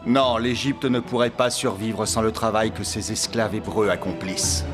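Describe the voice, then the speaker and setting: A man's voice: fairly low pitch, steady tone, moderate pace, natural voice. A man playing a proud, stubborn Pharaoh in a Bible cartoon, speaking in a formal, regal way. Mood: defiant. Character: proud male Pharaoh of Egypt, stubborn ruler. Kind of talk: dramatized Bible cartoon dialogue. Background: faint music.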